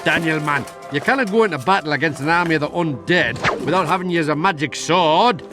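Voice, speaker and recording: An actor playing a chummy, blunt fantasy game character with a Geordie accent and a matey, teasing manner. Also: male voice, a little high, animated, a little fast, over music, lightly acted. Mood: matey concern. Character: chummy, blunt, fussing male sword-giver in fantasy game. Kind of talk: video game cutscene acting.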